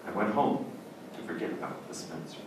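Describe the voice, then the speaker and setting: A man's voice: low voice, big pitch swings, fairly quick, creaky, heavily acted. Man acting a stern judge in an echoing room. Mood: coldly weary. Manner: formal, declamatory. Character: stern, haunted male judge, cold and unbending. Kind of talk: old-time radio drama acting and narration. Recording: very echoey, distant, noisy stage recording.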